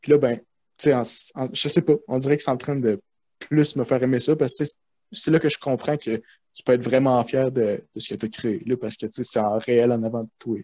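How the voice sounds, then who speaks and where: A man's voice: mid-range voice, fairly even intonation, fast talker, warm tone, dry recording. A man chatting casually on a video call, keen but hesitant in his phrasing.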